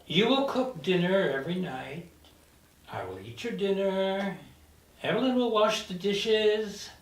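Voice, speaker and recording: A petty, self-important male housemate in a TV dramedy, played by a man at a table read. He is blunt and deadpan, yet his pitch moves around a lot. The room echoes and he is a little off-mic. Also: measured pace, recorded from a distance. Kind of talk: table read of a TV pilot script.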